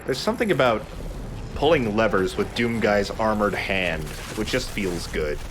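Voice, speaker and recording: Male let's play host, low voice, conversational and jokey, swears casually, noticeable background noise. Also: expressive, normal speed. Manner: conversational, joking, casually profane. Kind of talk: casual gaming commentary.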